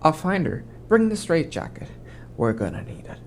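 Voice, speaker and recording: A woman playing a gruff, bossy asylum orderly in an audio horror drama. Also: sits low, sing-song, normal speed, darkish tone, a little hiss or hum. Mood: commanding.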